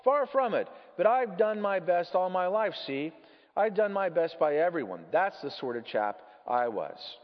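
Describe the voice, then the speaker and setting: Man putting on a high, indignant blustering ghost. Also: very animated, unhurried. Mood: self-righteous. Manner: blustering, aggrieved, rhetorical questioning. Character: big indignant male ghost, self-righteous working-class chap. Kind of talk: dramatic storybook reading. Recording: muffled, echoey large hall.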